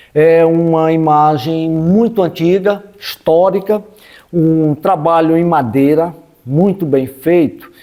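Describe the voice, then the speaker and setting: A man's voice: on the high side, dark tone. A man with a Northeastern Brazilian accent explaining local history off the cuff, in a didactic way with frequent check-in tags. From Brazilian Northeast, backlands of Sergipe.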